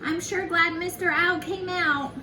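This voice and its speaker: A woman's voice: extremely high pitch, steady tone, unhurried. A woman reading a picture book aloud to young children, in a sing-song manner with chatty asides addressed straight to them. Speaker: children's storyteller, early childhood educator. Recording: roomy, distant mic, faint background noise.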